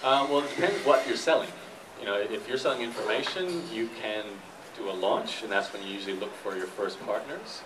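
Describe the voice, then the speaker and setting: A man with a fairly low voice gives an informal talk, explaining things plainly as a guest speaker. He is picked up from some distance, with clear background noise. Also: animated, a little fast. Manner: explanatory, repeats questions before answering. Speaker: guest speaker, internet entrepreneur and blogger. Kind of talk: informal talk answering audience questions. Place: live talk in a room with an audience. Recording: noisy live room, distant microphone.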